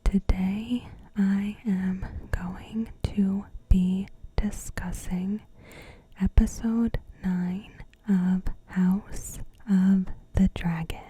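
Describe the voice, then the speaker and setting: A woman softly whispering a scripted recap as an ASMR host, in short, deliberate phrases with pauses. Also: medium pitch, steady tone, rough recording. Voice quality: soft, breathy whisper. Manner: slow, deliberate, pausing between short phrases.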